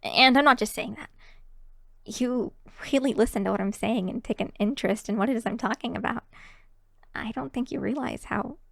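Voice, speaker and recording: A woman's voice: on the high side, normal speed, creaky, a bit bright, no echo, studio quality. A woman voicing a shy, cheery young fox-woman barista in an ASMR roleplay, chatting to the listener in a hesitant, giggly, encouraging way. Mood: sincere, tender.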